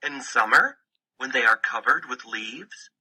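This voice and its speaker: A man reads a children's picture book with a very bright, forceful edge and a husky grain. His delivery is slow and deliberate, with pitch that moves up and down in a storytelling way. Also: low-quality recording. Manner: deliberate, storytelling phrasing in short sentences.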